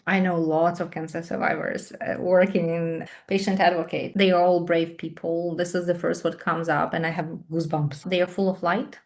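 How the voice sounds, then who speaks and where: Ukrainian woman on a video call, kinda low voice, lots of ums and restarts while she thinks. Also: flat delivery. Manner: thoughtful, halting, many ums and restarts. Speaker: guest, English teacher and patient advocate. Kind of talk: interview answers over video call.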